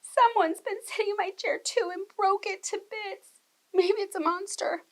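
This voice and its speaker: A woman's voice: very high-pitched, normal speed, voice pushed up. A woman voicing a tiny, frightened little bear cub in a children's storybook, with a squealing delivery. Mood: alarmed. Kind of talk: children's storybook read-aloud.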